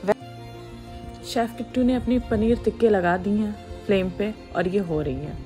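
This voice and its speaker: A woman's voice: mid-range voice, lively intonation, moderate pace, dark tone. Woman narrating a family vlog. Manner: chatty, mixing Hindi with English phrases. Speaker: vlogger. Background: faint music under the narration.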